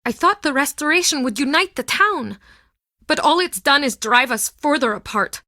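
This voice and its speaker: Guarded proud townswoman, bright voice. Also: high-pitched, animated, normal speed, dry recording, clean studio sound. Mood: proud, earnest. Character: guarded, proud townswoman, heir to an old ship, wounded by a breakup. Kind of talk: video game voice acting.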